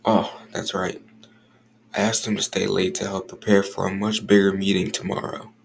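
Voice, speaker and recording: A man playing a stern, jealous, irritable office boss in an audio roleplay, curt and guarded, with a gravelly voice. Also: deep voice, flat delivery, normal speed, slight background noise. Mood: guarded, terse. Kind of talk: scripted audio roleplay acting.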